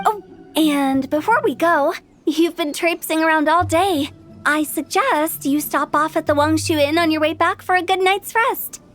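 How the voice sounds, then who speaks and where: A woman's voice: high-pitched, measured pace, fairly bright tone. A woman voicing a playful, courteous young female director in a video game, with a sprightly, theatrical manner. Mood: courteous, breezy. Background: game music.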